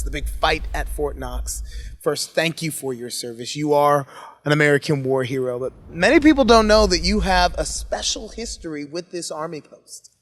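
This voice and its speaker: A male interviewer on a wrestling TV show who prompts his guests smoothly in a slightly high voice. A long hall reverb trails behind each phrase. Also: a little hiss or hum. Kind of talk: broadcast interview.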